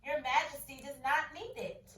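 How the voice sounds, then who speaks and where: A wise young boy who answers steadily and calmly, as a woman teacher reads him. He is voiced a little higher than the teacher's plain narration. The sound is roomy and slightly far from the mic. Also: moderate pace. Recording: echoey room, voice from off-mic.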